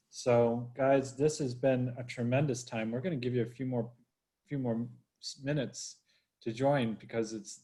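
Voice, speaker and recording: A man from Boston hosts a live online interview, his voice sitting at an ordinary middle pitch. He talks in a chatty, upbeat way and speaks straight to the viewers. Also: darkish tone, rough recording. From Boston, USA. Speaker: host. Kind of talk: livestream interview.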